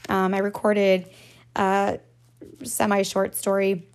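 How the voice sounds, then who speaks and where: A woman talks through a relaxed solo podcast in a raspy, slightly hoarse just-woke-up voice. She rambles chattily, laughs often and swears now and then, at a middling pitch for a woman. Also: takes it slowly. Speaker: podcast host. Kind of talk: casual solo podcast chat.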